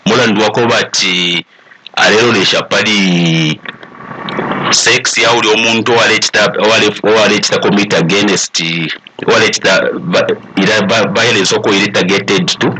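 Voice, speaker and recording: A man with a Zambian accent speaking as an expert panelist, explaining deliberately and switching between two languages. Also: lowish voice, steady tone, background noise. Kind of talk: explanatory talk mixing English and Bemba.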